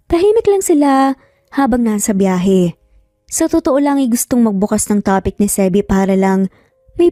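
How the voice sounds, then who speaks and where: Mellow, high-voiced Filipino woman narrating. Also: no echo, studio quality. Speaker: story narrator. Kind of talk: scripted romance story narration.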